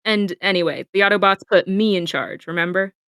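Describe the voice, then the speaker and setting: Stern, stubborn robot deputy, read by a woman, mid pitch, dry close sound, insistent, for a hobby comic dub. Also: fairly quick, a bit bright, clean tone, no echo, lightly acted. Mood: earnest. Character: firm male robot second-in-command, earnest and stubborn.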